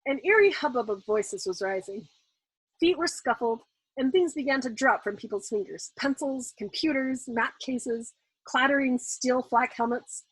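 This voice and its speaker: A woman reading a novel aloud as a storyteller, in expressive narration. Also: high voice, moderate pace, husky, no room sound, poor audio. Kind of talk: expressive audiobook-style narration.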